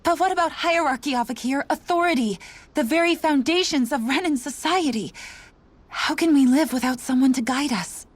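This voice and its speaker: A woman's voice: high voice, moderate pace, dry recording, close to an everyday voice. A woman playing an incredulous, anxious noblewoman. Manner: incredulous and protesting. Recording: game audio over music.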